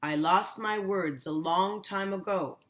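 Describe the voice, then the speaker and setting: A woman voicing a sad, gentle elderly grandfather in a storybook, in a slow, subdued, sorrowful manner. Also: medium pitch, natural voice. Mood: sad, resigned.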